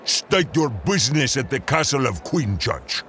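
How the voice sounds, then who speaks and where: An actor playing a gruff, contemptuous castle guard in an audio drama, giving curt, sneering orders. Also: male voice, mid-range voice, sing-song, a little slow, fairly bright tone, slight background noise. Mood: stern, commanding.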